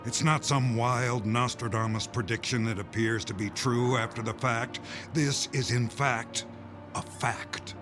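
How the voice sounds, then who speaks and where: A man playing a grim doomsday insider in an audio drama, speaking in measured, weighty phrases. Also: medium pitch, steady tone, natural voice. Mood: grim, emphatic. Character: grim male insider revealing a doomsday conspiracy. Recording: music bed under the voice.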